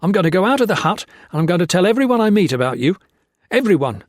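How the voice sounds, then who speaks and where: A man's voice: on the high side, very animated, moderate pace, a little mellow, no room sound, studio quality, voice pushed up. A man doing a stern, relentless lieutenant. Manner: firm, relentless, cold. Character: stern, relentless male army lieutenant, unwell.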